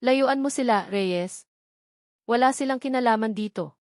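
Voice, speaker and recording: A woman's voice: on the high side, unhurried, no room sound, clean studio sound, voice pushed up, natural voice. A woman narrator voicing an earnest, protective businessman in love in a romance story. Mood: protective, angry. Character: earnest, protective man in love, a company boss.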